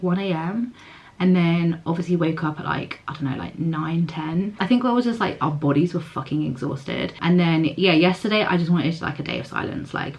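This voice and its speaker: Young woman vlogging, husky and a bit hoarse, low voice, rambly with lots of likes. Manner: chatty, lots of "like" and "um" fillers. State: hoarse, recovering voice. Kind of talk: casual vlog chat.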